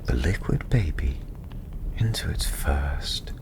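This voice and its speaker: A man playing a welcoming fantasy tavern storyteller, speaking softly and soothingly in a gentle, half-whispered ASMR style over clear fireside ambience. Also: very deep voice, lively intonation, exaggerated voice. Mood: hushed, soothing. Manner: hushed, gentle, unhurried, often half-whispered. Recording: clearly audible ambient background noise.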